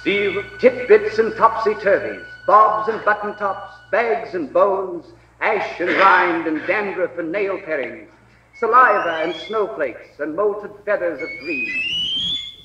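Distant dull archive recording, male actor as lyrical male radio storyteller, incantatory, slow. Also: high voice, wildly swooping pitch, echoey room, noisy background, exaggerated voice. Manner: incantatory, relishing alliterative lists. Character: lyrical storyteller narrating a sleeping seaside town's dreams. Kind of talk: dramatic literary radio recitation.

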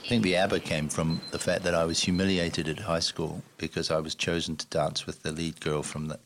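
Screenwriter guy, super deep voice, careful and thoughtful, little clicks in the background. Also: level tone, noisy background. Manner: measured, thoughtful. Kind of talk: interview answers.

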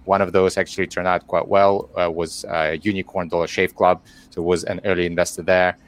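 Webinar guest: middle-aged man, Ukrainian accent, very low pitch, halting delivery. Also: little pitch movement, smooth. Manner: hesitant, many fillers and restarts. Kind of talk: webinar interview.

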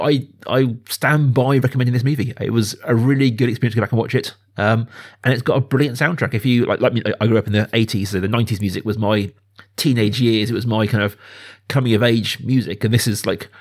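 A man's voice: mid-range voice, steady tone, quick pace. A man, talking casually and with rambling enthusiasm as a film podcast host. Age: 30s.

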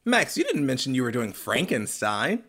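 A man playing a well-meaning, awkward middle-aged father figure in an audio drama, rambling and stammering as he tries to smooth things over. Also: medium pitch, big pitch swings, normal speed, a bit bright. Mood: friendly, chatty. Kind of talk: naturalistic audio drama acting.

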